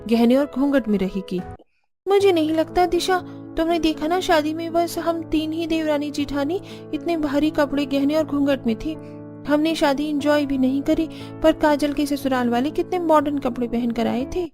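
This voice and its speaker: A woman's voice: high voice, normal speed, over music, natural voice. A woman voicing a plaintive, dutiful young Indian daughter-in-law in a cartoon family story. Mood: gossipy, disappointed. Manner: complaining, pleading. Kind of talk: Hindi cartoon story acting.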